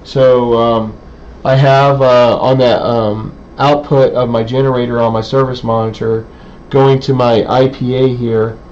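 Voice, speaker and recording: A man giving an informal technical demonstration in a halting, hesitant way with many pauses. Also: medium pitch, level tone, a little mellow. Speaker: presenter, radio hobbyist. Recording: dull, lo-fi sound with faint background noise.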